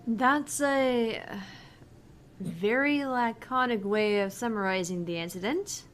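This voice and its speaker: A woman voicing a polite, thoughtful young bartender in a visual novel, earnest and measured as she explains things. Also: on the high side, a little hiss or hum. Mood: earnest, explaining. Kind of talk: visual novel read-aloud.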